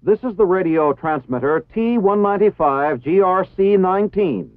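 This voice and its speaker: A man's voice: sits high, lively intonation, moderate pace, no room sound. Tinny vintage American male film narrator. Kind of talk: formal scripted instructional narration. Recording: tinny phone-like 1960s film soundtrack.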